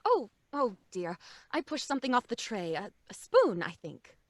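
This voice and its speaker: A woman's voice: fairly high pitch, wildly swooping pitch, normal speed, slight background noise. A woman playing a refined, easily flustered mother in an old-time radio drama, with a fluttery manner. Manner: genteel, fluttery. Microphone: studio mic at home, video call.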